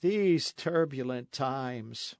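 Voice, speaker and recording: A man voicing an idle young nobleman who talks slowly with a weary, sighing manner in an audiobook. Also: medium pitch, lively intonation, no room sound, clean studio sound. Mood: languid. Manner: slow, sighing, mildly weary.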